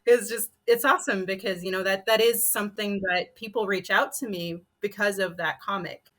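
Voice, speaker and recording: A woman's voice: mid-range voice, fairly even intonation, moderate pace, a bit bright. A woman telling personal stories in a panel discussion, in a casual, conversational way. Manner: casual, peppers talk with "like". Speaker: panelist, comic artist and illustrator.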